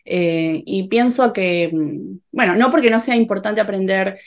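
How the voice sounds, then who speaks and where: Young female Argentine host, Rioplatense accent, calm and measured interviewer. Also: mid-range voice, gravelly. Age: young adult. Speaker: host and Spanish teacher. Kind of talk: interview over video call. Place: home room with bookshelves.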